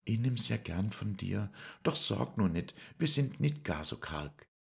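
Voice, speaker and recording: A warmhearted young traveling girl, voiced by a man reading a novella aloud speaks in a soft southern German dialect. Her lines rise and fall with feeling, and his gravelly texture stays underneath. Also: lowish voice, very animated, measured pace, pitched above the performer's own voice, exaggerated voice. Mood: wistful. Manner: heartfelt, lilting dialect speech. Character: young woman traveling puppeteer's daughter, warmhearted and spirited.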